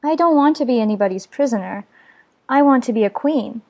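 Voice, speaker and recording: A woman voicing a curious, polite young girl in a storybook audiobook, musing and gently plaintive. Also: a little high, lively intonation, brisk, highs cut off. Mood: doubtful, plaintive.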